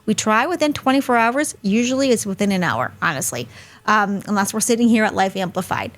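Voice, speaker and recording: A woman's voice: medium pitch, expressive, fairly quick. A woman hosting a live webinar over a video call, chatty and friendly, laughing easily. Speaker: host.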